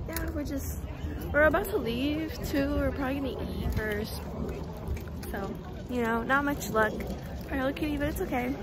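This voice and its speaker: A woman's voice: high-pitched, background noise. A young woman vlogging casually, chatting upbeat with lots of filler words, filmed on a phone held at arm's length. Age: young adult. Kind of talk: casual vlog chat. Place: outdoor flea market, later indoors at home.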